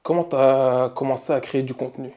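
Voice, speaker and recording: A man interviewing a guest casually, relaxed and laughing along. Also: medium pitch, a little fast, lo-fi sound.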